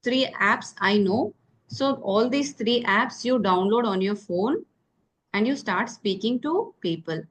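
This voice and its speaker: A woman's voice: on the high side, lively intonation, measured pace, rough recording. Patient woman tutor. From Karnataka, South India. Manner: patient, repeats key points with many "okay?" checks. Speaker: English tutor. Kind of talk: phone conversation practice.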